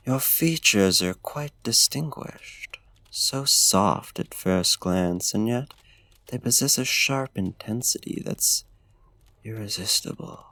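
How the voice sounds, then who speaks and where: Deep, slow, creaky courtly vampire, acted by a man. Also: sharp tone, dry recording, heavily acted. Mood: entranced, seductive. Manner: formal, old-fashioned, genteel diction. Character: courtly, smitten male vampire tending a frostbitten guest. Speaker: ASMR voice actor. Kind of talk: ASMR roleplay, close and soft-spoken.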